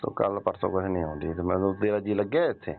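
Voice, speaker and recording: A man's voice: low voice, fairly even intonation, quick pace, gravelly. A man playing a wheedling, insistent elderly father-in-law in a staged phone call, pressing and nagging with grunted question tags. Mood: wheedling, complaining. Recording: tinny narrow-band phone call.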